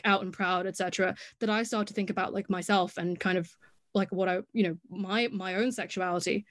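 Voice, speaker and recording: A young woman from Hong Kong who speaks with an English accent. She talks casually as a podcast guest, with lots of "like" fillers and frequent laughs. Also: mid-range voice. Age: young adult. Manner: chatty, laughs often, many "like" fillers. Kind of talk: casual chat.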